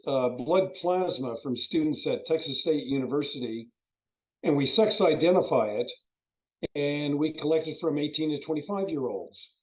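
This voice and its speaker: A man in his seventies, hoarse from a cold, giving a folksy, expansive presentation over a video call. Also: on the high side, darkish tone, low-quality recording. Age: 70s. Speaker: guest speaker.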